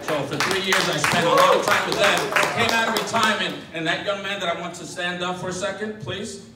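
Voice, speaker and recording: A man's voice: sits high, fairly even intonation, fairly quick. Older man speaking in an echoey room. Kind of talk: short speech to a meeting. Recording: echoey room, mic far off, noisy.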